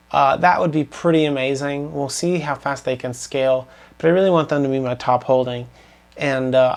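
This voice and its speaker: A young man with a slightly scratchy voice giving a rambling, earnest update on his investments to camera, full of ums and restarts. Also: mid-range voice. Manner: rambling, filler-heavy, earnest. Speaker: finance vlogger. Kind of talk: casual portfolio update to camera.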